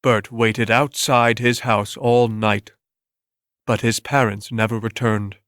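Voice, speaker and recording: A man's voice: mid-range voice, little pitch movement, takes it slowly, fairly bright tone, no room sound, clean studio sound. A man giving a dramatic scripted reading of a story as its narrator.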